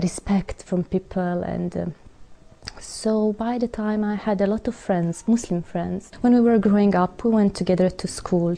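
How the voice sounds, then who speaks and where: A young woman from Serbia talks through her own story in English, halting and searching for words. Her voice is warm and mellow with a gravelly fry underneath, at an ordinary middle pitch. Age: young adult. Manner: hesitant, searching for words with many fillers. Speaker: guest. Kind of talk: personal testimony.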